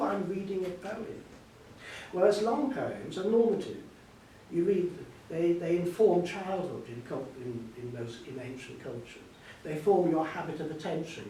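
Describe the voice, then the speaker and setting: Higher-voiced older man in an echoey hall. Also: darkish tone. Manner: discursive, emphatic, repeats himself for stress. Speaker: author and scholar being interviewed. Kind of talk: extended spoken explanation at a book event. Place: indoor event hall. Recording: echoey hall, distant mic, faint noise.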